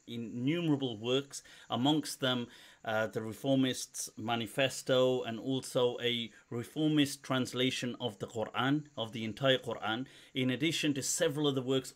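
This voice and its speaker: Man hosting, mid-pitched voice. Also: fairly bright tone. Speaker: host. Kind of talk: conversational interview.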